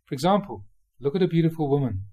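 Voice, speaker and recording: A man reading Buddhist teachings aloud like a monk giving a dharma talk, with measured, emphatic phrasing. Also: a little high, very animated, husky, phone-quality sound.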